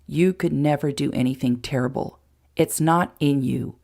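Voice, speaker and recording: A woman reading a protective young man who speaks low and softly with concern. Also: normal speed, creaky. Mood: fierce, upset.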